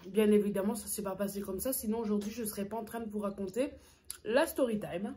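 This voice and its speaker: A young woman with a soft, mellow voice talks casually to the camera while she eats. She tells her story with plenty of hesitant fillers and pauses between bites. She is recorded up close in a small room. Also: mid-range voice, a little hiss or hum. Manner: chatty, hesitant fillers, eating between sentences. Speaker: food vlogger.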